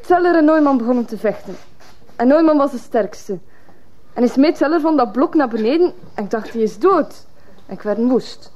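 A woman's voice: sits high, darkish tone, close to an everyday voice. An actress playing a stubborn, blunt teenage runaway girl with a Flemish accent, testifying in a radio drama.

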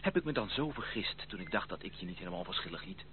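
Earnest, theatrical male suitor, formal and animated, hissy phone-like vintage radio play recording. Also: low voice, quick pace. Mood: pleading. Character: ardent, earnest gentleman suitor pleading for love. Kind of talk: old radio play acting. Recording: tinny, band-limited, hissy old radio recording.

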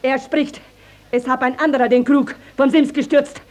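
A woman acts out an indignant village mother in classic stage-style radio drama. Her voice is high, and she declaims her complaint with proud, accusing emphasis and plenty of dramatic build. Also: fairly even intonation, normal speed, darkish tone, lightly acted. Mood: outraged, dramatic.

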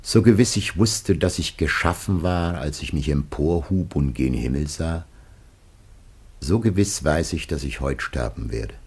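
An actor playing a grave, aged biblical patriarch facing death, declaiming solemnly and haltingly in a classic radio drama. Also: male voice, rumbling low, animated, darkish tone, slightly rough, exaggerated voice. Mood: anguished, solemn.

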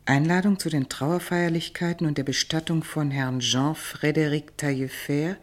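An actress playing a worldly, wry confidante who speaks with knowing formality in a radio drama. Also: female voice, low-pitched, fairly even intonation, moderate pace, natural voice. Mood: formal reading. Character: worldly, wry female confidante giving frank advice. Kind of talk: German radio drama acting.

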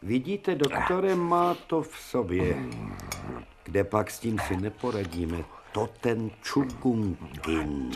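A man's voice: fairly low pitch, big pitch swings, background noise. An actor in a radio drama playing an imperious, irritable older male professor, commanding yet courtly. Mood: irritated. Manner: commanding, exasperated, courtly with servants. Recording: music behind the voice.

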